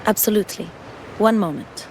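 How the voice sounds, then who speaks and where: A woman's voice: mid-range voice, a little fast, darkish tone, a little hiss or hum, natural voice, poor audio. A woman playing a deferential, efficient subordinate in a video game, reporting in a formal, dutiful way. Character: deferential, efficient female subordinate of a noble house.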